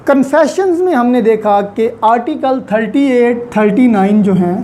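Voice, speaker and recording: A woman with a Pakistani accent giving an explanatory law lecture, deliberately and with repeated key points. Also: a little high. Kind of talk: explanatory lecture mixing in English legal terms. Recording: faint steady background noise.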